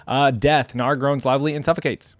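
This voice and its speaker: A man's voice: mid-range voice, steady tone, normal speed, no room sound. A man hosting a game reaction video, talking informally and thinking aloud as he reads along. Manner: informal, thinks aloud while reading out text. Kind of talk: casual reaction commentary.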